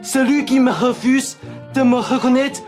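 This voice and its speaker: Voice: sits high, fairly even intonation, over music. A young performer playing a theatrical older aristocrat in a French farce made as a radio play, melodramatic and declamatory. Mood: melodramatic, insistent. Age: young adult. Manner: melodramatic, declamatory, slow delivery. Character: theatrical older male aristocrat claiming a long-lost son.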